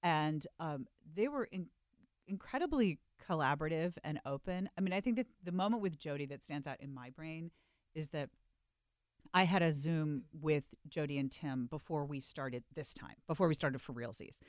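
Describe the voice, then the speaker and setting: Lower-pitched woman, close and dry. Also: lively intonation, moderate pace, no room sound. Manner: playful slangy phrasing, emphatic repetition. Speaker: stage actress, interview guest. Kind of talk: casual chat.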